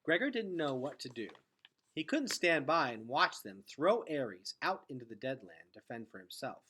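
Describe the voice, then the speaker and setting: A man reading a story aloud in a dramatic, emphatic and expressive narrating style. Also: mid-range voice, normal speed, slightly rough. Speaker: story reader.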